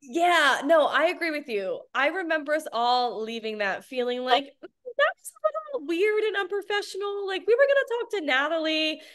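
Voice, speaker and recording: A woman co-hosting a casual aftershow chat over a video call, animated and quick to laugh at herself. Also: high-pitched. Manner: animated, laughing, self-mocking. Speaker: co-host.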